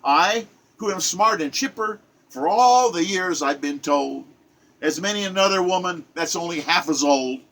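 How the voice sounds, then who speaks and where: A proud, weary old woman of seventy, bound for the poorhouse, recites her lament in rhymed verse, voiced by a man in his seventies. The voice sits fairly high and rings bright and forceful. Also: lively intonation, normal speed, poor audio. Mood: plaintive. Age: 70s. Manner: declaiming rhymed verse in first person.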